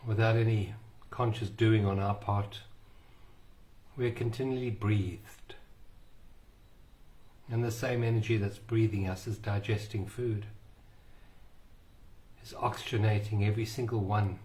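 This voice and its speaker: Man, guided meditation, soothing, unhurried, slightly low pitch, long pauses. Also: fairly even intonation, darkish tone.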